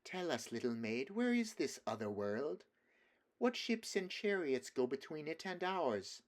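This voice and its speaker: Man voicing a witch queen for a storybook, raised in pitch, sweet and half-mocking. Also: big pitch swings, a little slow. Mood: coaxing. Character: enchanting witch queen, soft and coaxing. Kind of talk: audiobook character voice.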